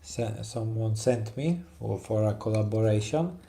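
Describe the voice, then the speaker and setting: A man's voice: on the low side, measured pace, gravelly, background noise. A man from Poland with a Polish accent, a woodcarver who chats while he works and keeps stopping to search for English words. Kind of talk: casual chat while carving.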